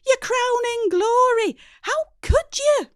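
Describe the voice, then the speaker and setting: A woman's voice: very high voice, lively intonation, slow pace, no room sound, clean studio sound, voice pushed up, exaggerated voice. A woman voicing a nagging, dramatic, scornful middle-aged mum in an audio short story. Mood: shocked, wailing.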